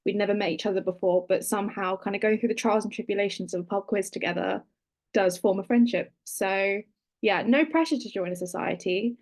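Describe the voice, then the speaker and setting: A woman's voice: mid-range voice, brisk, darkish tone. A young woman, a student ambassador, casually giving advice on a webinar panel. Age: young adult.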